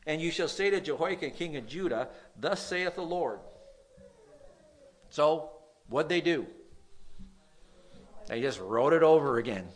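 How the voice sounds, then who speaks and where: Nasal male pastor preaching, stresses lines loudly, drops quiet, stumbles on words now and then. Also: a little high, lively intonation, a little slow, long pauses. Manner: reads scripture aloud, swings between loud emphasis and quiet; occasional stutter on words. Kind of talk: sermon.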